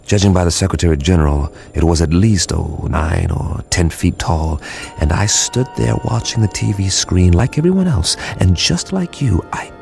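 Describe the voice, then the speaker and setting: An actor playing a wry, detached scientist in a radio drama, conversational and musing, with a gravelly voice. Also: male voice, deep voice, expressive, moderate pace, darkish tone, faint music behind. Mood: wry, reflective. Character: wry, detached male scientist recounting alien arrival.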